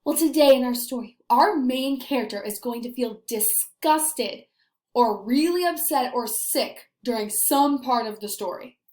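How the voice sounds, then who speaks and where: Female early-grades teacher, higher-pitched and unhurried, expressive questioning style, reverberant room. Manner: expressive, animated questions with pauses for young children. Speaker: elementary school teacher. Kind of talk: children's read-aloud lesson to camera. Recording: echoey room.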